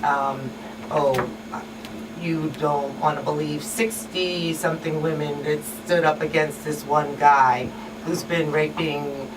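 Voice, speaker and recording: Woman, low-pitched, indignant, emphatic, conversational panelist, tag questions, distant mic, noisy room. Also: little pitch movement, slow pace. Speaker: talk show panelist. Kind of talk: casual, opinionated discussion. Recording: distant mic, loud air-conditioning noise.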